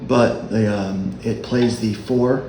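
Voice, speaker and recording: A man with a low voice giving a relaxed, halting dulcimer lesson as a worship leader. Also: reverberant, over music. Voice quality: low speaking voice. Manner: unhurried, halting explanation. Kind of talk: casual music tutorial.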